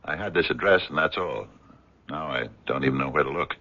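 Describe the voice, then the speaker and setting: An actor playing an earnest, courteous American physicist in a radio mystery, speaking in a hesitant, wordy, stammering way. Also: male voice, extremely low pitch, brisk, bright, forceful tone, exaggerated voice. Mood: apologetic, disappointed. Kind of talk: radio drama acting. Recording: dull, muffled radio drama recording.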